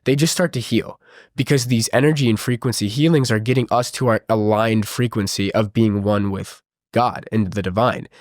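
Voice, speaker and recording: A man's voice: fairly low pitch, steady tone, normal speed, no room sound, studio quality. A man with a stuffy nose, talking earnestly and enthusiastically in a casual spiritual conversation. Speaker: podcast host.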